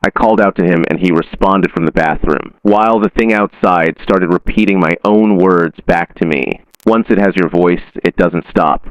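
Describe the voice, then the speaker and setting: A man reading a scripted horror report like a documentary, in a measured, solemn and deliberate manner. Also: low-pitched, clear, resonant tone. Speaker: narrator of a horror story. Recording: dull lo-fi sound with room echo.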